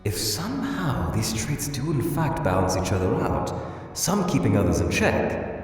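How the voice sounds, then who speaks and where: A man's voice: medium pitch, very animated, lightly acted. A man voicing an eccentric, brooding older skeleton scientist in a comic dub, formal and theatrical in delivery. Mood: thoughtful. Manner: theatrical, formal, articulate, swinging from agitated to measured. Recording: echoey hall-like reverb, distant, faint music.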